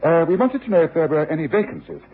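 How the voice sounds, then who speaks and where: An actor playing a shrewd, secretive British gentleman detective with a brisk, authoritative manner in old radio drama style. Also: fairly high pitch, sing-song, slightly rough. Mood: polite probing. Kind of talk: 1950s-60s radio drama acting. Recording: muffled, noisy old radio recording.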